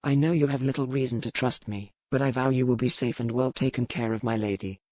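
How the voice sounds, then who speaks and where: A man voicing a stern, cold but courteous pirate captain with a formal, measured delivery in a dramatized audiobook. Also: medium pitch, fairly even intonation, a little mellow, no echo, close to an everyday voice. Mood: solemn.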